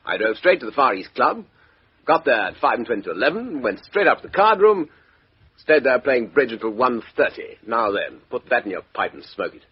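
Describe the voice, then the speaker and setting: An actor playing a blustering, evasive upper-class English major in a radio mystery, clipped and indignant. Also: male voice, mid-range voice, sing-song, brisk, breathy edge. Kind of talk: radio mystery drama acting. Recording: dull, muffled radio drama recording.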